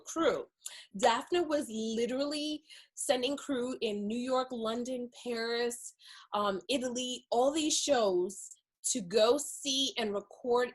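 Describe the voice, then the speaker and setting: A woman's voice: on the high side, slightly rough, poor audio. A woman hosting a magazine video interview, effusive and full of compliments for her guest.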